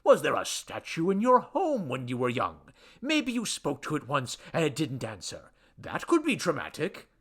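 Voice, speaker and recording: A man voicing a pompous, irritable ancient Babylonian god in a story, with grandiose, formal declamation and a rusty, booming voice. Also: wildly swooping pitch, moderate pace, a bit bright, slightly rough, exaggerated voice. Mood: clinical, probing. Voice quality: rusty booming foghorn bass.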